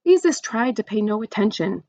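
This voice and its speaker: A woman's voice: medium pitch, expressive, fairly quick, a little mellow, no echo, dull audio. A woman reading a children's story aloud expressively, with chatty asides to young listeners.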